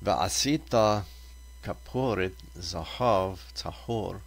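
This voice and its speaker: Man, low-pitched voice, Torah reader, deliberate scripture reading, short halting phrases. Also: creaky.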